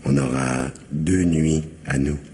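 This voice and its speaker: An actor playing a smug, lecherous, menacing brother-in-law in a French radio mystery, insinuating, mocking and domineering. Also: male voice, a bass voice, fairly even intonation, slow, warm tone, long reverb tail, slight background noise. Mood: insinuating, seductive.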